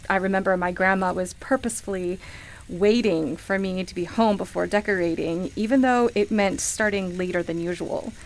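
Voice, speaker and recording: A woman's voice: mid-range voice, normal speed, close to an everyday voice, rough recording. An actress in a radio play playing a sincere, wistful woman who reminisces hesitantly about her life. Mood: sad, guilty. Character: wistful woman grieving her beloved grandmother at Christmas. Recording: music playing behind the voice. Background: music.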